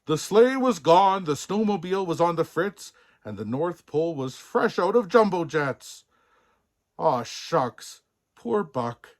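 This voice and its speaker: Animated, unhurried man reading a children's story. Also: fairly high pitch. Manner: playful, theatrical, savouring sound words. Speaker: storybook reader in a Santa persona. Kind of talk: expressive scripted children's story narration.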